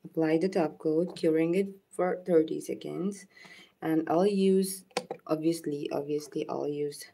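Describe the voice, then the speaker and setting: A woman whose first language is Urdu, gently talking viewers through a nail art demonstration and thanking them by name. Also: deep voice, steady tone, creaky, husky. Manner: soft-spoken, unhurried, thanks viewers by name. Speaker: nail art tutorial host. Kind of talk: live nail art demonstration with chat shout-outs.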